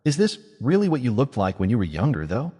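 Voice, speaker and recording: A man's voice: mid-range voice, huge pitch swings, fast, mellow, husky, in a large hall. A man voicing a guarded, blunt young male mage who speaks dryly and confrontationally. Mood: probing.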